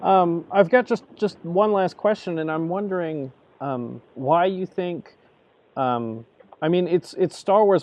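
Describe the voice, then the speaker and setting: A man with a slightly higher voice hosts an interview, posing questions in a halting, exploratory way. He restarts his sentences often while he works toward the point. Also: a little mellow. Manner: halting, searching for words. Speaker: interviewer, podcast host.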